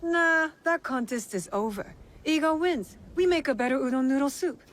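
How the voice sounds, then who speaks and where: A woman's voice: high-pitched, normal speed, close to an everyday voice. A woman playing a blunt, wary young shinobi in a video game, with dry, terse delivery. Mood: dry teasing. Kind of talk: video game cutscene voice acting.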